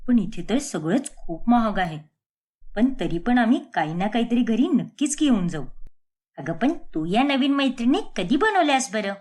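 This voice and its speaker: A woman voicing a simple, unembarrassed middle-class mother in an animated story, talking in a homely, questioning way. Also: on the high side, normal speed. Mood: chatty, cheerful.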